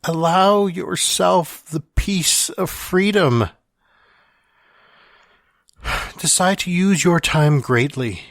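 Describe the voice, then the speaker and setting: A man acts out a dying man delivering a farewell monologue for a fiction podcast. His voice sits a little high and he takes his time, wry and confessional. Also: drawn-out words, vocal fry, long pauses, fairly bright tone, no room sound, studio quality, heavily acted. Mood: wistful regret.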